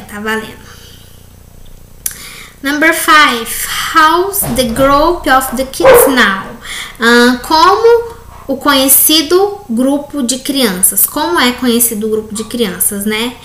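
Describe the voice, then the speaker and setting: A Brazilian woman, an English teacher, correcting textbook exercises in a recorded class, reading questions slowly and explaining with frequent tag questions. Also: a little high, a bit bright. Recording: echoey room, faint background noise.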